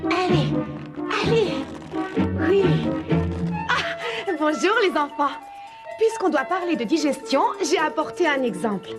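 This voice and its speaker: A woman's voice: high-pitched, animated, unhurried, long pauses. An actress playing a cheerful, eccentric woman schoolteacher in a French cartoon dub, theatrical and playful. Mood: gleeful. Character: cheerful eccentric woman schoolteacher leading magical field trips. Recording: loud music behind the voice.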